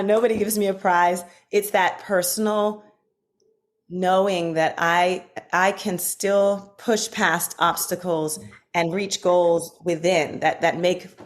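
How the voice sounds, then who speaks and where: A woman guest on a podcast from Washington, D.C., telling childhood stories in a relaxed, animated way with lots of fillers. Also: lowish voice. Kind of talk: casual conversation.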